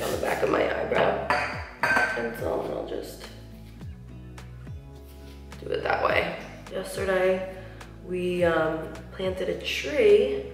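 Chatty woman in an echoey bathroom. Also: medium pitch. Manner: chatty, lots of "like", soft asides while applying makeup. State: slightly nasal, getting over a cold. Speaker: beauty vlogger. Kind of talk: casual makeup tutorial chat. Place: large tiled bathroom, indoors. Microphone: camera mic at close range, seated facing camera. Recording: echoey bathroom with faint music.

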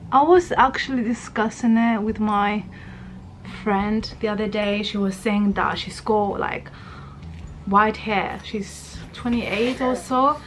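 A woman's voice: mid-range voice, steady tone, takes it slowly, slight background noise. Chatty thirty-year-old woman vlogging from her bedroom. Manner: rambling, chatty, many fillers. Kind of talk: casual chat to camera. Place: bedroom, indoors. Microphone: camera at arm's length.

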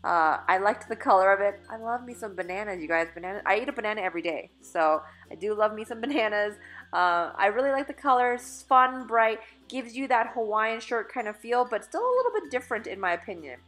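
A woman's voice: medium pitch, lively intonation, fairly quick, fairly bright tone. Chatty woman vlogging a try-on haul. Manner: chatty and upbeat, with fillers and self-corrections. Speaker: fashion vlogger. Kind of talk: casual try-on haul commentary. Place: small store dressing room. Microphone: phone camera held in mirror.

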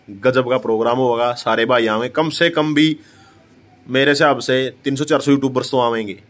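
A man's voice: medium pitch, a bit bright. A young man with a Haryanvi accent talks emphatically and casually to the camera as a vlogger, addressing his listeners like brothers. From Haryana, India. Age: young adult. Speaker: vlogger, host. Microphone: phone at arm's length.

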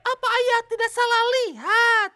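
A woman's voice: very high-pitched, measured pace, sharp tone, heavily acted. A woman voicing a small, easily exasperated boy parrot in a children's cartoon dub. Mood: doubtful. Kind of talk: animated cartoon dub acting.

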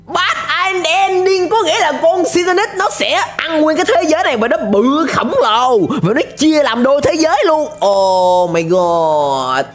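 A young man giving excited, exclamatory reaction commentary as a video host, dragging out words for drama, close to the microphone. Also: extremely high pitch, a little slow, hardly any pauses. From Vietnam. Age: young adult. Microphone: close desk microphone. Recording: music behind the voice.

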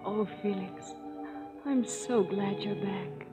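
A woman's voice: medium pitch, fairly even intonation, slow pace, music in the background, lightly acted. An actress playing a hopeful young woman in love in a 1930s radio drama, in an old broadcast recording. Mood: loving.

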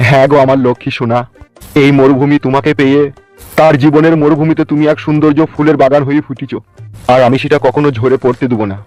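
A man's voice: mid-range voice, steady tone, fast talker, darkish tone, no echo, close to an everyday voice. A man voicing a gushing, lecherous newlywed lover with flowery talk in a comedy cartoon. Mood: adoring. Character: gushing, cheeky male lover with big teeth, newly wed. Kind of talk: amateur comedy cartoon dubbing. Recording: faint music behind a dry voice.

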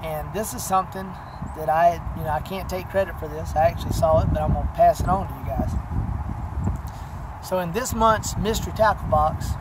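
A man talks casually into a close camera outdoors, showing fishing tricks. He rambles and fills gaps with "you know", his voice sitting a little high. Clear outdoor noise runs under the recording. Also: a little fast. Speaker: fishing channel host. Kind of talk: casual how-to demonstration. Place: outdoors by a pine wood. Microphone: camera close at arm's length. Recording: noisy outdoor camera audio.

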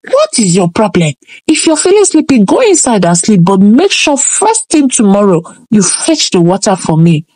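A woman's voice: medium pitch, big pitch swings, moderate pace, darkish tone, no echo, rough recording. A woman doing an exasperated scolding mother. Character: scolding village mother and queen.

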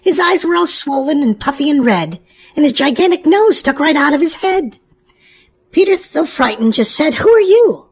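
Lady doing a kids read-aloud, high voice, super animated and exclamatory. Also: normal speed. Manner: exclamatory, addressing young children. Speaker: children's show host and storybook reader.

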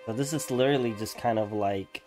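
A man's voice: on the low side, a little fast, music in the background. A young man giving casual reaction commentary in an informal, slangy, rambling way. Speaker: reaction video host.

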